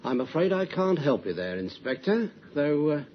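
An actor playing a paternal, confiding company boss, genteel and hesitant, in old-time radio drama. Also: male voice, fairly high pitch, very animated, normal speed. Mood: recollecting. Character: concerned, gossipy, paternal male company boss. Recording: muffled, noisy old radio recording.

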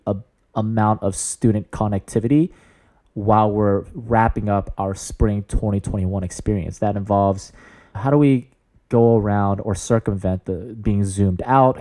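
Booming guy in his 30s, low mellow voice, upbeat and earnest, lots of fillers. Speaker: student leader. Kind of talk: interview answers.

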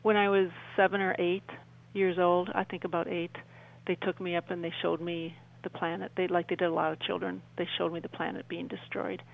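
An older woman with a gravelly, creaky edge to her voice. She speaks as a radio guest telling her own account, earnestly and deliberately, repeating phrases for emphasis while her pitch stays quite level. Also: little pitch movement, rough recording. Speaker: interview guest, author and self-described contactee. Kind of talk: radio interview, personal account.

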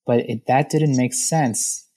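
A man in his thirties from Ecuador, a podcast guest talking thoughtfully and softly, with frequent fillers and restarts. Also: mid-range voice, fairly even intonation, takes it slowly. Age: 30s. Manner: thoughtful, soft-spoken, many fillers and restarts. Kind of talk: reflective conversational interview.

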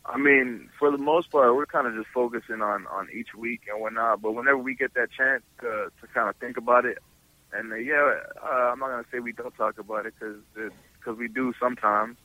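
A man, a college football player giving casual, halting interview answers, often repeating words and restarting. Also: mid-range voice, steady tone, pushed and bright, dry recording, poor audio. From Toronto, Canada. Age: early 20s.

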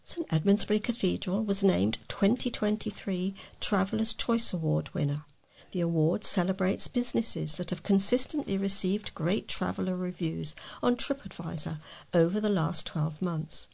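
A woman's voice: medium pitch, normal speed, vocal fry, darkish tone. A woman reading scripted local news as a volunteer newsreader.

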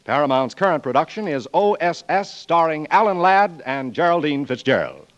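A man's voice: fairly high pitch, sing-song, moderate pace, loud music behind the voice. A man working as a 1940s radio announcer, reading scripted copy in a polished, emphatic style. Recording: muffled vintage 1940s radio broadcast.

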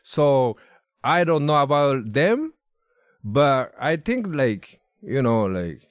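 A man from Japan with a Japanese accent, a podcast guest speaking halting, broken English with many fillers. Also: medium pitch, very animated, no room sound. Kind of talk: casual chat. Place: podcast studio.

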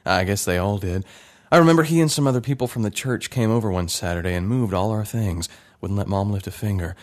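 A man's voice: lowish voice, fast talker, lightly acted. A man playing a wistful grown son remembering. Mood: reflective. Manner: wistful, conversational storytelling. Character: grown man recalling his childhood, thoughtful. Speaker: narrator. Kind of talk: reflective dramatic narration.

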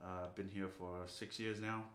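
A singer-songwriter from Fiji, a man, talking softly and casually between songs in a brewery barrel house. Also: little pitch movement, moderate pace. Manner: soft-spoken, low-key. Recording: roomy brewery hall echo.

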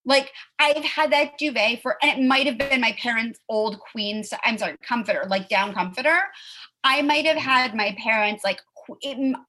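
A woman in her thirties chatting casually as host, full of "like" and quick asides. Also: a little high, bright, forceful tone, poor audio. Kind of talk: casual chat.

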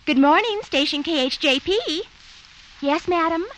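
A woman's voice: very high voice, lively intonation, unhurried. A woman playing a chirpy, gossipy switchboard operator in a 1950s radio comedy. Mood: chirpy, polite. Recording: old radio recording with faint noise. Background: faint noise.

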